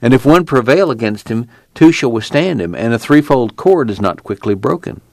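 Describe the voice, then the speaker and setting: A man voicing a weary young man in hiding, who speaks in a low, unhurried, folksy rural way. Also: expressive, creaky, a little mellow, dry recording. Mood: reflective. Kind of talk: audiobook character reading.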